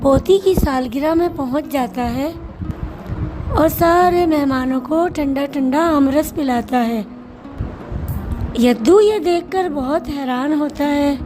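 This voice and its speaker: A woman narrating a children's cartoon story in a measured storytelling way. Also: sits high. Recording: narration over background music.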